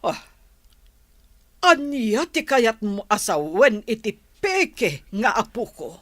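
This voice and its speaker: A woman's voice: on the high side, big pitch swings, slow, no room sound, full character voice. A woman acting a disapproving, grudging older grandmother in a Filipino radio drama, with a complaining manner. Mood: annoyed.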